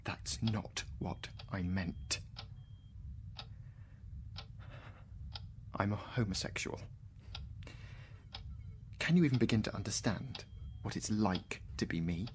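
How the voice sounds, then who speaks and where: An actor playing an anguished, disbelieving mathematician in a radio drama, bitter and agitated, moving between outbursts and faltering murmurs. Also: male voice, lowish voice, unhurried, pauses often, a little hiss or hum, natural voice. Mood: bitter, wounded.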